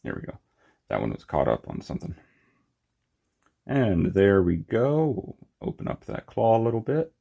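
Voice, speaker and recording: A man with a very low, crackly voice talks casually through a hands-on review. His delivery is unscripted and informal, with small muttered asides as he works. The sound is somewhat muffled and lo-fi. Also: wildly swooping pitch, normal speed, vocal fry, long pauses. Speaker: toy collector and reviewer.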